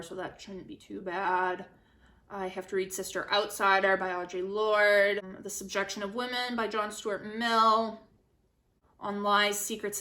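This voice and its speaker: Female book vlogger, young adult, bright forward voice, casual and chatty, self-deprecating and giggly. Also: medium pitch. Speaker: book vlogger, channel host.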